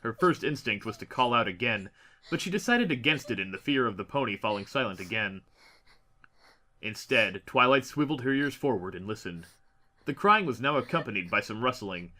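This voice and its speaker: A man's voice: mid-range voice, animated, fairly quick, sharp tone. A man narrating a fantasy story as an audiobook reader, in a dramatic scripted style.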